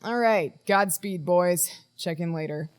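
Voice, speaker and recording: An actress playing a guarded woman in charge of a team in a naturalistic audio drama, brisk and firm with hesitant trailing asides. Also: on the low side, noisy background, natural voice. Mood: brisk, dismissive.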